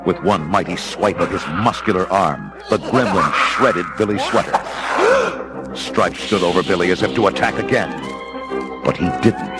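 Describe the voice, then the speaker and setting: A man's voice: low-pitched, moderate pace, poor audio. A man reading scripted, dramatic storybook narration. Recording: music behind the voice.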